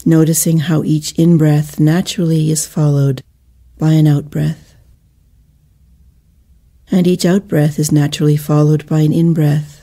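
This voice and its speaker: A woman leading a guided meditation in a soothing, measured manner with gentle pauses between phrases. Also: deep voice, little pitch movement.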